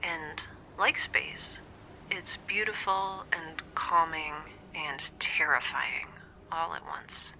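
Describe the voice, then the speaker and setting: An actress playing a lonely, wry young space scientist in an audio drama, confiding hesitantly and often dropping to a near-whisper over a log recording. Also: female voice, deep voice, slow, sharp tone, full character voice, poor audio. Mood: pensive, uneasy. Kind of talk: scripted audio drama monologue, intimate dream-log recording.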